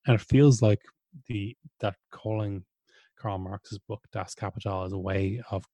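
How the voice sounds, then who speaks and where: Man, Irish speaker, low voice, dry recording, hesitant, thinking aloud. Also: fairly even intonation, a little slow, mellow, no echo, dull audio. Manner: thoughtful, hesitant, many restarts and fillers. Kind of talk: casual conversation.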